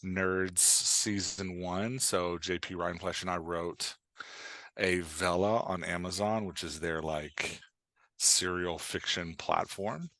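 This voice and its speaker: Deep-voiced man chatting as a guest. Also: steady tone, slow, bright, forceful tone, dry recording. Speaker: podcast guest, author and writing coach. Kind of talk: casual interview chat.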